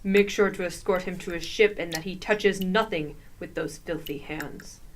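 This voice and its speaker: A woman's voice: lowish voice, normal speed, slight background noise, natural voice. A woman playing a stern, imperious alien priestess, formal and scornful, in a comedic science fiction radio drama. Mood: menacing. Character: haughty, stern alien high priestess disgusted by filthy outsiders.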